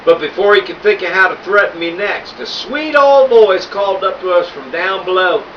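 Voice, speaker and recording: A man's voice: high-pitched, expressive, moderate pace, far from the mic, dull audio, a little hiss or hum. A man reading a children's novel aloud in the first person, with a wry, conversational delivery. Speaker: audiobook narrator.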